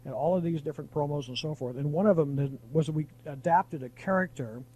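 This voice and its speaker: A man's voice: a little high, brisk, dark tone, slightly rough, rough recording. A mature man, a veteran radio broadcaster, reminiscing in a rambling, anecdotal on-air chat. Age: middle-aged or older.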